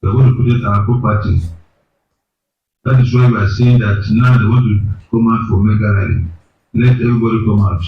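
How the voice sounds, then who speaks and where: A man with a fairly low voice, a native Yoruba speaker, delivers an emphatic and repetitive political appeal. He sounds far from the mic, on a muffled, heavily echoing line with a faint hiss behind him. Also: steady tone, a little fast. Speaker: caller. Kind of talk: impassioned political call-in.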